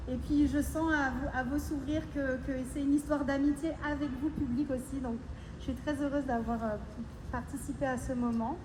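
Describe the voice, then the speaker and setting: A woman with an accent from France speaks to a concert audience between pieces, halting, with many fillers and restarts. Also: high voice, steady tone. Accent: French (France). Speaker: musician addressing a concert audience. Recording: echoey live concert recording with background noise.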